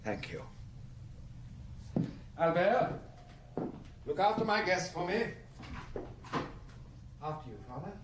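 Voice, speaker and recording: An actor playing a remorseful but resolute aristocratic count in a radio drama, formal and earnest in speech. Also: male voice, high voice, very animated, slow pace, long pauses, very noisy, exaggerated voice. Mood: subdued, grateful.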